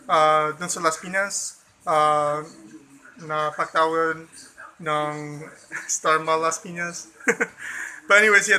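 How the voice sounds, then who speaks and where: A man's voice: high voice, sharp tone, slight background noise, rough recording. An American man from the Chicago suburbs vlogging in a loose, profane way, full of "like" and "you know". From Chicago suburbs, USA. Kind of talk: casual rambling vlog talk.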